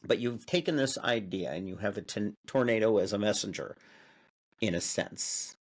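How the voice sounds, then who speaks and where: A man from Illinois hosting a casual interview, talking in a halting way with many fillers and restarts. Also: on the low side. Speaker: interview host.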